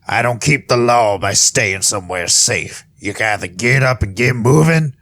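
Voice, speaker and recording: A man's voice: mid-range voice, moderate pace, sharp tone. A man voicing a stern, blunt and commanding griffin lawman in an audio drama.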